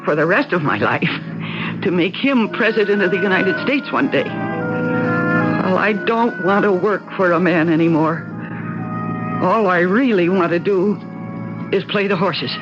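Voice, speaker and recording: An actress playing a restless politician's wife with a secret gambling habit, narrating and acting in a radio drama. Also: female voice, on the low side, lively intonation, measured pace, long pauses. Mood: resentful, confiding. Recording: dull lo-fi radio sound under loud music.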